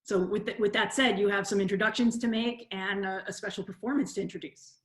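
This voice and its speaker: A woman's voice: medium pitch, steady tone, brisk, echoey room. A woman moderating a casual interview over a video call. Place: indoor room on a video call.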